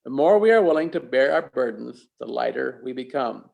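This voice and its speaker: A man delivering a reflective sermon as a preacher, speaking deliberately and pausing for emphasis. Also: medium pitch, animated, vocal fry, darkish tone.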